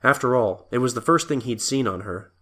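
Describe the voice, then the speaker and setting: A man's voice: mid-range voice, brisk, fairly bright tone. A man reading scripted story narration as the narrator of an audio drama.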